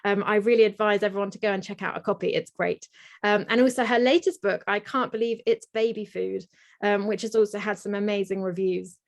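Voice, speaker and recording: A woman's voice: mid-range voice, fairly even intonation, a little fast. Woman hosting a webinar. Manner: warm, admiring, with fillers and restarts. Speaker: webinar host. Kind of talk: introducing a guest.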